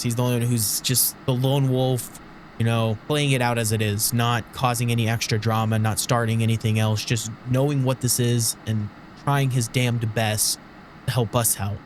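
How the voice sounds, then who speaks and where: A man's voice: medium pitch, a little hiss or hum. A man giving casual, reflective, rambling commentary as he hosts a video game playthrough. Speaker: gaming video host.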